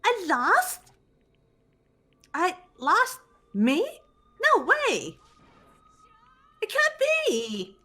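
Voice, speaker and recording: A woman's voice: very high voice, wildly swooping pitch, very slow, long pauses, bright, forceful tone, pitched above the performer's own voice, heavily acted. A woman voicing a manic teenage girl mastermind villain from a video game, theatrical, mocking and gushing. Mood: disbelieving. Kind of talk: fan voice acting of a video game script.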